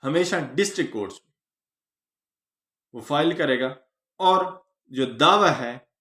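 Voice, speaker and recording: A man with a Pakistani accent giving an explanatory law lecture, teaching phrase by phrase with pauses. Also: fairly high pitch, expressive, pushed and bright. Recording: echoey room.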